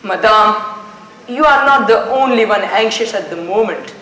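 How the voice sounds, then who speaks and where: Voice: mid-range voice, lively intonation, normal speed. A young student in a school play acting a composed, formal detective who takes charge of questioning passengers. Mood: composed, commanding. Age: child or teenager. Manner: formal, authoritative. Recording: echoey stage, loud music behind.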